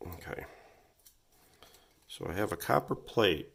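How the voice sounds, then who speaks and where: A man casually walking through a repair at his home workbench, thinking aloud with frequent pauses and ums, recorded on a phone on a tripod. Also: sits low, lively intonation, vocal fry, fairly bright tone. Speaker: vintage electronics repair host. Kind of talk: casual workshop walkthrough. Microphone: phone camera on a tripod.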